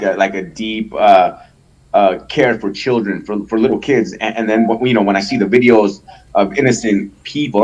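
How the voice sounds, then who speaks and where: A man from El Salvador, a guest in a casual interview, talking haltingly with many restarts and fillers and swearing freely. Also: on the low side, darkish tone, dull audio. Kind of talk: casual conversation.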